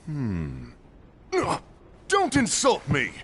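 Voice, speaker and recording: Gruff, touchy, slow-spoken bruiser. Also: male voice, a little high, wildly swooping pitch, fairly bright tone, full character voice. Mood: irritated. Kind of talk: video game cutscene acting.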